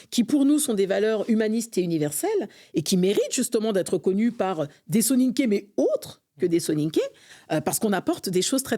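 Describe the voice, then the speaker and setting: A woman's voice: medium pitch, dark tone. A middle-aged woman from France, a guest in a conversational interview, speaking earnestly and fervently with frequent hesitations. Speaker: podcast guest, association vice-president.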